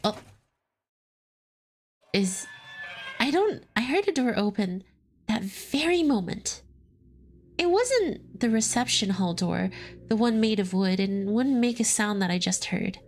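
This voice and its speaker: A woman's voice: medium pitch, big pitch swings, a little slow, long pauses, a little hiss or hum. A woman reading a game's story aloud as a streamer, breaking off to joke and laugh between passages. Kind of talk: dramatic reading with commentary.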